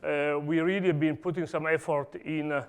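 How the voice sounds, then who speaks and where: A man from Italy speaks with an Italian accent, giving a technical talk. His voice is smooth and resonant and sits a little high for a man. He stops often, filling gaps with "uh" and restarting his sentences. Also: steady tone, normal speed. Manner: hesitant, many "uh" fillers and restarts. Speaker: conference speaker. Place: conference hall.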